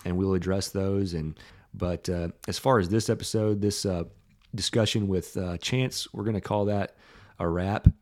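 A man talks in a slightly low voice, hosting a podcast as a preacher. He rambles casually through a self-deprecating story, peppered with "uh" and "you know.". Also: darkish tone. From Tennessee. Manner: chatty, self-deprecating, frequent filler words.

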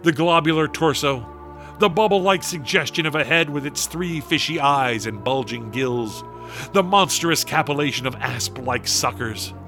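A man narrating a gothic horror radio drama in a grave, dramatic, deliberate storytelling manner. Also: a little high, pushed and bright, faint music behind. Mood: grave, ominous.

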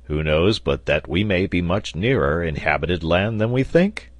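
A man's voice: low voice, big pitch swings, normal speed, no echo. A man voicing a curious newspaper reporter in an audiobook, polite and formal as he inquires. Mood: inquisitive. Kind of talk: audiobook character dialogue.

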